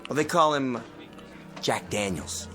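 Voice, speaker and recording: An actor playing a cynical, breezy drinking companion who wisecracks casually, in mid-century television drama style. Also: male voice, mid-range voice, lively intonation, unhurried, music in the background, lightly acted.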